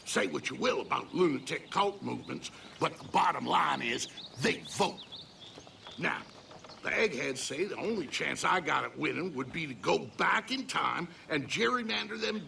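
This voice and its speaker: An actor playing a shameless, scheming politician in a video game, with a crude, sly way of rambling. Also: male voice, a little high, expressive, normal speed, creaky, sharp tone, slight background noise, exaggerated voice. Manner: folksy, crude, sly rambling with heavy hints. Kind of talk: video game cutscene acting.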